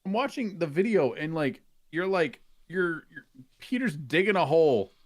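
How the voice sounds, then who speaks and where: A man's voice: fairly high pitch, animated, moderate pace, a crowd in the background. A man talking informally as a podcast guest, in casual chat where he often repeats his phrases. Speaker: podcast guest and game streamer.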